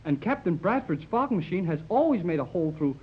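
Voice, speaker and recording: An actor playing an eager, excitable young radio operator in 1930s radio serial style, breathless and insistent. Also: male voice, high-pitched, a little fast, slightly rough, full character voice. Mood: excited, insistent. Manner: breathless, insistent, boyish questioning. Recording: muffled old 1930s radio recording.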